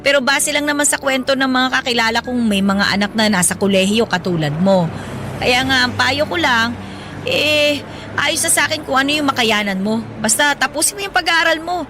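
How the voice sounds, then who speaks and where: A woman's voice: high-pitched, lively intonation, brisk, noisy background. An actress playing a hardworking, affectionate mother in her late thirties in a Filipino radio drama, colloquial and playfully indignant. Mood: earnest, affectionate. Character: hardworking, proud, affectionate 37-year-old mother driving a pedicab.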